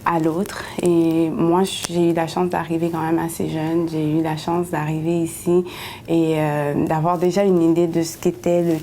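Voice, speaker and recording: A woman. Her voice is low and mellow, with little rise and fall. She pauses often and restarts her sentences while a faint rumble sits underneath. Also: flat delivery. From Haiti. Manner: hesitant, many pauses and restarts. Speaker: interviewee, city councillor. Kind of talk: personal testimony in an interview.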